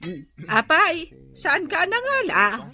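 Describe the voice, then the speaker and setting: A woman's voice: very high voice, wildly swooping pitch, slow pace, pushed and bright, soft background music, exaggerated voice. A woman playing a nosy aunt who teasingly and insistently pushes her niece to marry, in a comedy radio drama.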